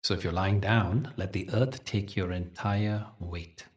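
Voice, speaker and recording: A man leading a guided meditation as a teacher, telling spiritual stories in a gentle, measured, soothing way with deliberate pauses. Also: deep voice, fairly even intonation, distant mic.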